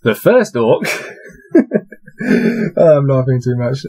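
A man's voice: medium pitch, big pitch swings, slow pace, dark tone, breathy edge, soft background music. A young man in his twenties reading a fantasy gamebook aloud at his desk and chatting through his dice rolls with amused laughs. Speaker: reader playing a gamebook. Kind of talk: casual read-aloud with dice commentary. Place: small cluttered room with shelves, indoors.

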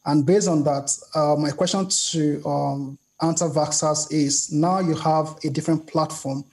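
A man, a research fellow, giving measured expert comments over a video call from a home office, with frequent pauses. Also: on the high side, fairly even intonation, darkish tone, slightly rough. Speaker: research fellow, panel guest. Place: indoor home office with shelves. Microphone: video call webcam.

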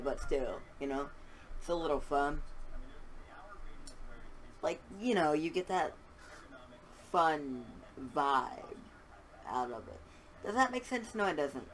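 A man casually commenting on his game as he plays, rambling and chatty, with a slight lisp on his s sounds. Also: a little high. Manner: rambling, chatty, self-aware about his mic. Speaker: gaming channel host. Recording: loud game voice chat behind. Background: game chatter from other players.

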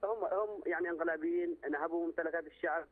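A man's voice: a little high, racing, no echo. A male caller phoning into a talk show, insistent, repeating himself and restarting words as he argues. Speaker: phone-in caller. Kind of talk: political opinion on a call-in show. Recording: dry tinny phone line.

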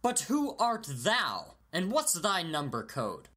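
A woman's voice: lowish voice, wildly swooping pitch, takes it slowly, bright, forceful tone, breathy edge, full character voice. A woman playing an officious imperial trooper in a Shakespearean dramatic reading. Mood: officious, suspicious.

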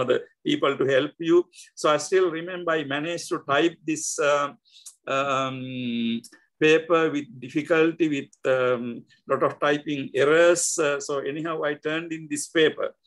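An older man with a Sri Lankan accent, speaking as an interview guest over a video call, halting with many fillers and restarts. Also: on the high side, low-quality recording. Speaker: guest scholar. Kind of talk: reflective interview talk. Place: indoor home room.

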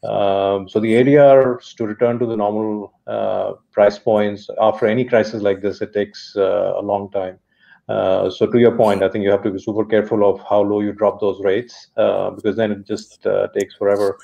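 Low gravelly man on a dull call. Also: normal speed. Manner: steady, thoughtful, many uh fillers. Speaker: company executive guest. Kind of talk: business interview talk. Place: home study. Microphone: video call. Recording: dull lo-fi video call audio.

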